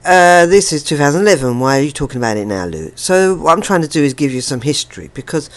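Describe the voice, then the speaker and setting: Halting, rambling woman in informal commentary. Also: a bass voice. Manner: halting, rambling, repeats words. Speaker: interviewer and video host.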